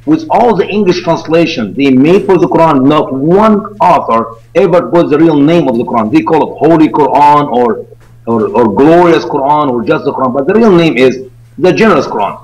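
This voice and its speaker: A man's voice: on the high side, lively intonation, normal speed, darkish tone. A man from Egypt with an Egyptian accent, a native Arabic speaker, explaining things earnestly and emphatically as an interview guest. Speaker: interview guest, evangelical ministry founder. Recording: dull lo-fi call audio.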